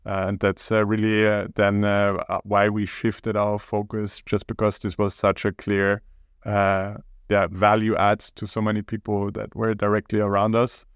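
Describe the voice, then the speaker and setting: Hesitant man, flat smooth voice. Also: lowish voice, no room sound. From Austria. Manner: hesitant, halting, many pauses. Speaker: startup co-founder, podcast guest. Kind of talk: casual interview talk.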